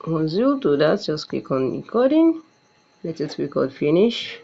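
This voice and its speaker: Male tutorial presenter, mid-pitched with a creaky edge, restarts his instructions, lo-fi muffled sound. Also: very animated, measured pace, warm tone. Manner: repeats and restarts phrases. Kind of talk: step-by-step screen tutorial narration. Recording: dull, muffled lo-fi recording.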